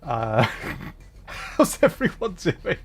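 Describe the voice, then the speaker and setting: A man's voice: fairly high pitch, sing-song, drawn-out words, breathy edge. A man chatting casually to viewers on a livestream, talking in a hesitant, flustered way and often interrupting himself. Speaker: livestreamer, VTuber.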